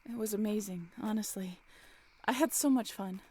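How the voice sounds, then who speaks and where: A woman acting a cheerful, affectionate young woman in an intimate roleplay audio drama, with a playful, teasing, expressive delivery. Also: medium pitch, normal speed, husky, close to an everyday voice. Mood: apologetic, tender.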